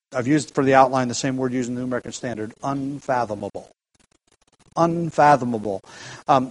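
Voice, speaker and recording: A man's voice: medium pitch, rough recording. A man preaching an expository sermon, earnest and emphatic, often restarting phrases.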